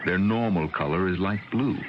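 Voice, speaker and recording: An American actor playing a stern, suspicious middle-aged scientist father in a radio drama, speaking in a measured, formal way. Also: low-pitched, natural voice. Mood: suspicious, controlled. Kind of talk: 1980 radio drama acting. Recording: dull, faintly noisy old radio recording.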